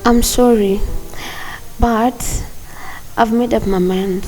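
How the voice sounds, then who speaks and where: A woman's voice: fairly high pitch, steady tone, slow, dark tone, poor audio. A woman playing a regretful, stubborn young student in a radio drama, with a hesitant, defensive manner. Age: young adult.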